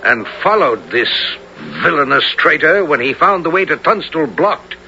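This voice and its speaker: High-pitched, ringing, hearty comrade. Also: male voice, very animated, unhurried, pushed and bright, heavily acted. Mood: proud. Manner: theatrical, archaic diction. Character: loyal, hearty medieval outlaw friend. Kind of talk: 1970s radio drama acting. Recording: dull radio recording, faint noise.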